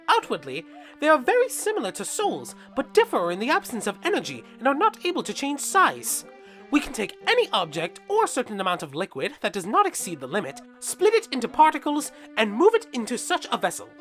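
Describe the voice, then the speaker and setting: A woman plays an eager cartoon guardian creature who loves to lecture. The voice is bright and leaps all over in pitch, excitable and keen to explain, over music. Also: heavily acted.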